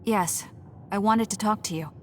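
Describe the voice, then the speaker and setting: A woman's voice: medium pitch, normal speed, a little hiss or hum, natural voice. A woman playing a young, guarded female soldier in a video game, speaking in a blunt, matter-of-fact way. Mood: matter-of-fact, probing. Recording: studio voice under loud game music.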